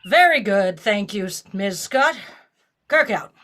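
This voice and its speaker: An actress playing an authoritative, guarded female starship captain in an audio drama, giving crisp, imperious orders. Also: mid-range voice, expressive, a little slow, a bit bright. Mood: commanding.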